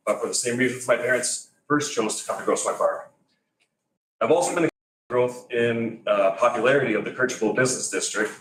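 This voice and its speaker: A man's voice: fairly low pitch, fairly bright tone, slight background noise. A man from Greece in his forties giving a prepared public comment at a city meeting, firm and a little wry. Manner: wry, firm, reads from notes. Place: council chamber. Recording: echoey chamber, distant mic.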